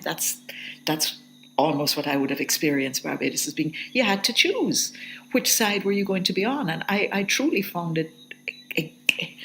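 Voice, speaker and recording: Woman, middle-aged, very low pitch, faint background noise. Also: a bit bright, poor audio. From Jamaica. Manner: thoughtful, hesitant, with restarts. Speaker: literature professor. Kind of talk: reflective interview answers.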